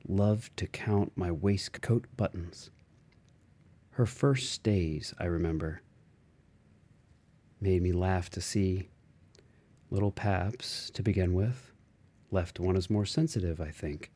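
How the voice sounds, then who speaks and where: A man reading a literary novel aloud in a measured, expressive way with dramatic phrasing. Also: low voice. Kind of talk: literary audiobook narration.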